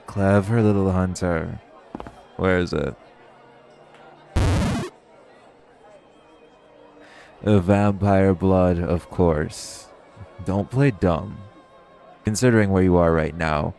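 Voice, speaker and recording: A man's voice: low-pitched, vocal fry, mellow, full character voice. A man playing a teasing, flirtatious and menacing male vampire in an intimate audio roleplay, smug and sardonic. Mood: sardonic prying.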